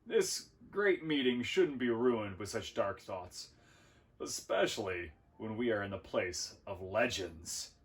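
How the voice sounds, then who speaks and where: A man's voice: on the low side, animated, takes it slowly. A man voicing a big, puppyish would-be warrior hero in a storybook, speaking with grandiose earnestness. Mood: proud, dreamy.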